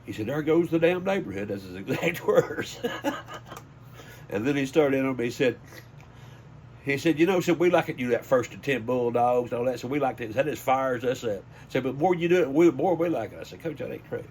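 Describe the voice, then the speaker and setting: A man's voice: mid-range voice, expressive, brisk, a little mellow, slight background noise. An older man with a Southern US accent, telling stories in a casual, folksy way as a sports announcer being interviewed. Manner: folksy, anecdotal. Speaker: high school sports PA announcer.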